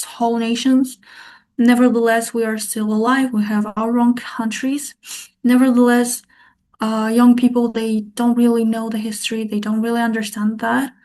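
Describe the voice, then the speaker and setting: A woman's voice: fairly high pitch, darkish tone. A young woman artist from Kazakhstan, talking reflectively as a podcast guest. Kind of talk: podcast interview.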